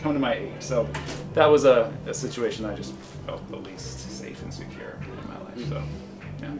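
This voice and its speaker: An American man giving a personal account at a meeting, speaking in a halting, reflective way. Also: medium pitch, creaky, over music. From United States, Michigan. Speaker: community meeting participant.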